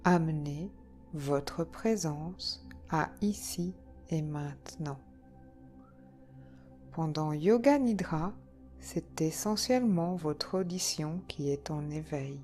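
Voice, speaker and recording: A woman leading a guided yoga nidra relaxation, speaking calmly and soothingly in short phrases with long pauses. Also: sits low. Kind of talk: guided relaxation meditation, slow instructions. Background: soft background music.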